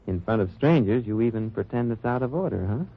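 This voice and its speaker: A man playing a cool, watchful undercover agent who narrates his wartime mission in measured, wry radio drama style. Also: fairly low pitch, lively intonation, a little hiss or hum. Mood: cool, probing. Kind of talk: 1940s-50s radio drama acting, first-person narration. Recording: muffled old-time radio recording with music.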